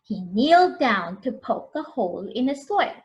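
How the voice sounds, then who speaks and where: A woman's voice: on the high side, big pitch swings, moderate pace, muffled recording. A woman reading a picture book to young children as a preschool storyteller, expressively, prompting them with questions.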